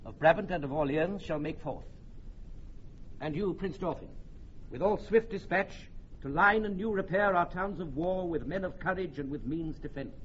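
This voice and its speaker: A man's voice: a little high, normal speed, a bit bright, natural voice. An actor playing a grave, wary French king, stately and solemn, in a classical radio drama. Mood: urgent command. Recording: dull, faintly noisy old radio recording.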